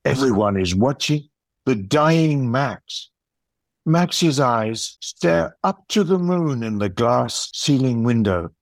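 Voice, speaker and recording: A man reading scripted narration for an audio play in a measured storytelling manner. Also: mid-range voice, no room sound.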